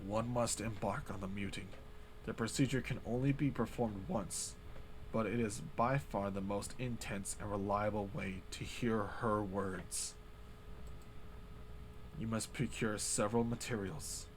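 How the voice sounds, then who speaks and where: A man voicing the unseen author of a grim ritual journal, reading slowly in a hushed, ominous low voice. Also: steady tone, slight background noise, lightly acted, low-quality recording.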